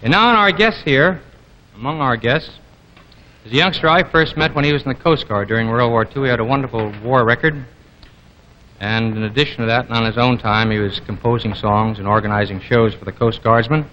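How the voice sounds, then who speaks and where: A man's voice: medium pitch, fairly quick, lo-fi sound, a little hiss or hum. A man hosting an old television variety show, introducing his guests in a chatty, rambling way. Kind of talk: live broadcast introduction.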